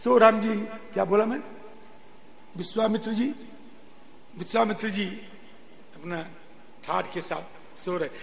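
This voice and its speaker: A man preaching a devotional discourse in an animated, exhorting way, acting out dialogue and chanting verses in a drawn-out style. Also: high-pitched, gravelly, slight background noise. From northern India. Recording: long reverberant hall sound.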